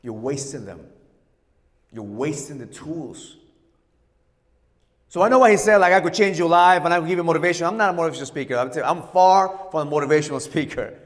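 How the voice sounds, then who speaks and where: A man's voice: a little high, brisk, in a large hall. A middle-aged man from Ecuador, a native Spanish speaker, giving a blunt, profane sales keynote and mixing Spanish with English. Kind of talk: motivational keynote talk. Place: hotel conference hall.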